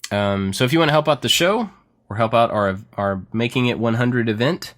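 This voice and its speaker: A man's voice: medium pitch, lively intonation, fairly quick, fairly bright tone. A man hosting a podcast in casual chat, enthusiastic and self-deprecating, laughing often as he tells stories. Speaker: podcast host.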